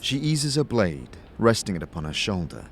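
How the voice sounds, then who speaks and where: A man narrating a scripted fantasy audio drama in a measured, descriptive, theatrical manner. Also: lowish voice, big pitch swings, slight background noise. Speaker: narrator and game master.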